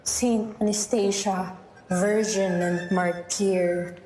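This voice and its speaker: A woman playing a cautious, prim young girl hushing her friends in a radio drama. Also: mid-range voice, fairly even intonation, slow pace, lightly acted. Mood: anxious, scolding. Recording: roomy echo, faint noise.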